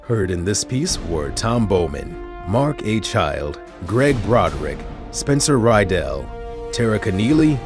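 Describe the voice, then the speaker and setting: A man reads as a drama announcer in a scripted manner. His voice is fairly low with animated pitch, set over clearly audible music. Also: slow. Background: loud music.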